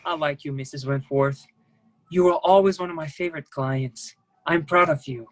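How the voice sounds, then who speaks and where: Brisk, approving ship staffer, played by a man. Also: fairly high pitch, close to an everyday voice. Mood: fond, proud. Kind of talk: staged play reading over video call.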